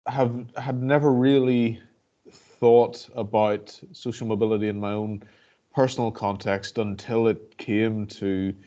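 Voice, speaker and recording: This is a man with a Northern Irish accent and a lowish voice. He talks in a level, measured way and often stops to restart a thought. The sound is dull and lo-fi, like a video call. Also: a little mellow. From Northern Ireland. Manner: reflective, hesitant, many restarts and fillers. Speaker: barrister and guest speaker. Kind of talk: personal talk to an online audience.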